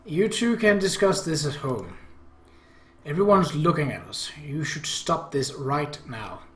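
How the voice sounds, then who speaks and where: A man's voice: on the high side, lively intonation, unhurried, a bit bright. A man voicing a weary, grumbling teenage big brother in a visual novel. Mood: warning. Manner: sluggish, grumbling. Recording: echoey room.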